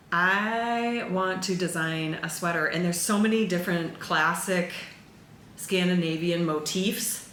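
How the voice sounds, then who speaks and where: A woman hosting a knitting podcast, chatting casually to camera in an excitable, rambling way. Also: fairly low pitch. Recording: echoey room with faint background noise.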